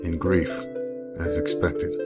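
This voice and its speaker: A calm, weary male leader and shapeshifter, played by a man in an audio drama. The voice is low and deep, with loud music behind it. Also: takes it slowly. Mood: measured, sympathetic. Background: loud background music.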